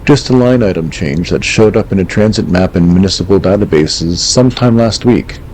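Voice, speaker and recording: A man playing a calm, wry host of an eerie late-night radio call-in show, speaking in a measured, reflective, understated way. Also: low-pitched, slight background noise, poor audio. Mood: hushed, ominous.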